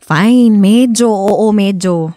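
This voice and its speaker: A woman's voice: mid-range voice, slow pace, mellow, dry recording, clean studio sound, lightly acted. An actress with a Filipino accent playing a reluctant, evasive young woman who hides her feelings, in a radio drama. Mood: uneasy. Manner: hesitant, exasperated, sighing. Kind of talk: Tagalog radio drama acting.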